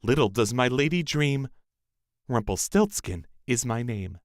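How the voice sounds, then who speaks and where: A man's voice: medium pitch, animated, measured pace, no echo, studio quality, natural voice. A man voicing a merry, sly little dwarf in a storybook, with a theatrical, singsong delivery. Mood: gleeful singing. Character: little male dwarf, merry, sly bargaining magical creature. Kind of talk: storybook character voice.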